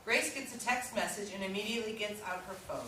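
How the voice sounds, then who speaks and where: A woman's voice: medium pitch, fast, pushed and bright. A woman reading out stage directions at a staged play reading in a scripted narration style. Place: indoor staged reading, recorded from afar. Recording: echoey room, distant mic, clicks, noise.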